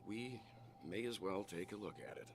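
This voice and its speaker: A man voicing a mature, courteous and earnest traveler in a video game, speaking in a measured, polite way. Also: fairly low pitch, noisy background, lightly acted.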